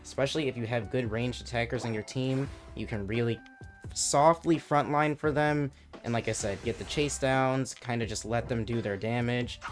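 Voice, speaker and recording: A man hosts his gaming channel in a loose, rambling tone, his voice going a bit hoarse. Music plays clearly behind him while he talks at a middling pitch. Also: moderate pace. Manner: relaxed, loose, lots of filler "like" and restarts. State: losing his voice. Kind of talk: casual game commentary. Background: background music.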